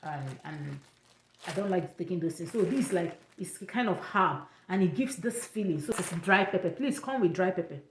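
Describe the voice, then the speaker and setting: A young Nigerian woman with an Igbo accent chats through her travel tips, repeating herself for emphasis. Her voice sits a little low, and the room around her is echoey. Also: expressive, moderate pace, a little hiss or hum. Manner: chatty, repeats points, pidgin touches. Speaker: vlogger giving travel advice. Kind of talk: casual advice vlog.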